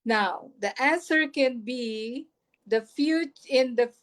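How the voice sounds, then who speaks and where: A woman's voice: fairly high pitch, slow pace. A woman from the Philippines with a Filipino accent, her first language Filipino, answering a conference question in a reflective way, thinking aloud. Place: United States.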